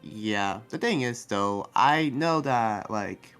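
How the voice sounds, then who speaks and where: A man playing an earnest, soft-hearted demon researcher in an improvised anime roleplay, speaking in a halting, rambling way. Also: lowish voice, lively intonation, clear, resonant tone. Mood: reflective, relieved. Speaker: roleplay player. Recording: background music under the voice.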